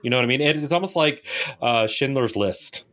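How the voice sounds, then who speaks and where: A man with an average-pitched voice speaks as a podcast co-host. He chats in a loose, conversational way, wandering between thoughts and often checking that he is understood. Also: lively intonation, brisk, fairly bright tone. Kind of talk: casual conversational video game chat.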